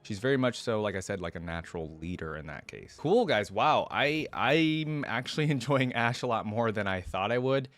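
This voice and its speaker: A man's voice: lowish voice, expressive, normal speed, few pauses, a bit bright. A man giving casual, conversational reaction commentary on a video game, rambling with frequent asides.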